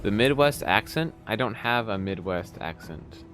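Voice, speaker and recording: A man's voice: fairly low pitch, lively intonation, unhurried, over music. A young man from Texas with a light Texan accent, chatting casually with viewers while streaming a game, rambling with lots of fillers. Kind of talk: casual live-stream chat while playing.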